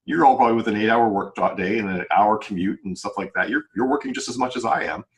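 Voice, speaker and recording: A man with a deep voice talks at a quick clip in casual podcast conversation. He explains things earnestly, rambling and backtracking to rephrase as he goes. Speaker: novelist and podcast panelist.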